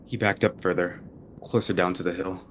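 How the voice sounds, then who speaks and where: Man roleplaying a story, low hesitant delivery. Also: fairly even intonation, brisk, a bit bright, slight background noise. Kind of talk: online roleplay, narrating and voicing a character.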